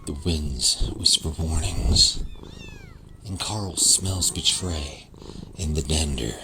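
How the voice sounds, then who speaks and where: Very deep, ominous alien mystic. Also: male voice, heavily acted. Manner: slow, ominous, cryptic. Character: eerie alien plant-keeper muttering cryptic warnings. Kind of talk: sci-fi comedy radio drama acting. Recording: loud background noise.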